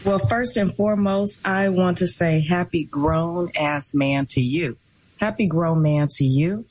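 A woman hosting a call-in radio talk show, speaking in an affirming, unhurried way. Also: fairly low pitch, a little mellow, rough recording.

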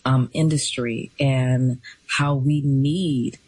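A woman hosting a call-in radio show, speaking in a warm, affirming and unhurried way. Her voice is slightly breathy and sits at a middle pitch, recorded dry and close. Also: fairly even intonation, dry recording. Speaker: radio talk show host.

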